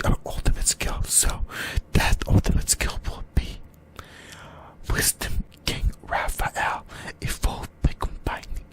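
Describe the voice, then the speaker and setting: A speaker whispers throughout in a breathy, unvoiced ASMR style. The delivery is informal fan talk from a YouTube host, with mild swearing dropped in. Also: a bit bright. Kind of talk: ASMR whispered anime discussion.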